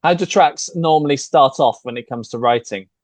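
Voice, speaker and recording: A man's voice: medium pitch, normal speed, no room sound. Male interviewer, dry close sound, short affirmations. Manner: short affirmations then steady questions. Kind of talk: interview questions.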